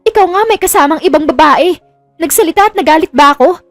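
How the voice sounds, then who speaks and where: A woman's voice: very high-pitched, quick pace, dry recording, faint music behind, pitched above the performer's own voice. A woman voicing a hurt, jealous, defensive young wife in an audio drama romance. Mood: angry, impatient.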